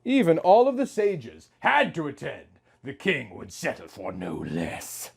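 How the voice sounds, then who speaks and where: A young man narrates a story with exaggerated, mock-serious drama. His voice sits a little high and swings widely in pitch from phrase to phrase. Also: sing-song, unhurried, vocal fry, husky. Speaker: host reading amateur fan fiction.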